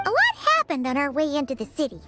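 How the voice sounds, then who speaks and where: Tiny game fairy sidekick, extremely high squeaky voice, chirpy, very swoopy intonation, chatty, fretful. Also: female voice, huge pitch swings, quick pace. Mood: pensive. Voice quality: squeaky, childlike. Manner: chirpy, refers to herself in third person. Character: small childlike floating fairy companion, chatty, perky, fretful. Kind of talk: video game cutscene dialogue. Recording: music playing behind the voice.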